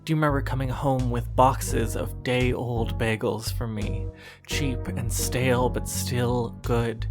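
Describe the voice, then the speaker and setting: A performer playing a wistful, brooding narrator who addresses a former lover in slow, poetic, deliberate horror-drama narration. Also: medium pitch, lightly acted. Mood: nostalgic, reproachful. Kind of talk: scripted second-person horror audio drama narration.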